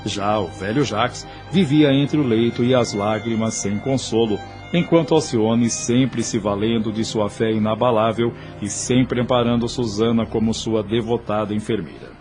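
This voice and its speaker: A man's voice: fairly low pitch, normal speed, hardly any pauses, darkish tone. A man with a Brazilian accent reads formal, solemn, declamatory narration for an audio soap opera. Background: prominent music.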